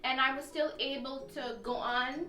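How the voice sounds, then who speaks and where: Animated guyanese woman, bright voice, high-ish, roomy echo. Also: moderate pace. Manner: animated storytelling. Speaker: dancer and designer guest. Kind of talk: casual chat. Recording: roomy, distant mic, faint music.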